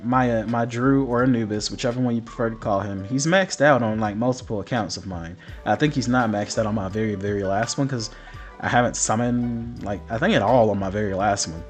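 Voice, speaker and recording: A man hosting a gaming video, talking through patch notes in a casual, rambling, apologetic way while sick and partly losing his voice. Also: medium pitch. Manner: rambling, apologetic, many fillers. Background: faint background music.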